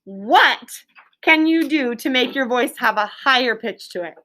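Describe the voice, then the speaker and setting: A woman teacher leading an interactive lesson for young children, encouraging them with praise and explaining in simple, deliberate terms. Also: high voice, expressive, a bit bright. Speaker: elementary school teacher. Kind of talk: interactive classroom lesson with questions. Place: classroom.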